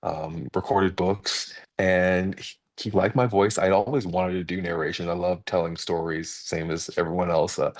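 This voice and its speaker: Very deep-voiced man, set back from the mic. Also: fairly even intonation, far from the mic. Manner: halting, polite, many fillers. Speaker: actor and narrator. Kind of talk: panel chat.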